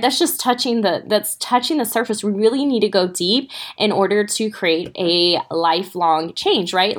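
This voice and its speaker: A woman hosting a fitness podcast for mothers, sounding a little stuffy, talking casually and straight to her listeners with lots of "right?" asides. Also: medium pitch. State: stuffy from a sore throat. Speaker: podcast host and fitness coach. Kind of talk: casual motivational podcast talk.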